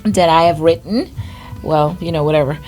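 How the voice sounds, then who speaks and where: A woman's voice: low voice, moderate pace, darkish tone, soft background music, rough recording. A woman from Guyana with a Guyanese accent, co-hosting a podcast in casual chat. She laughs often and restarts her phrases. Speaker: podcast co-host.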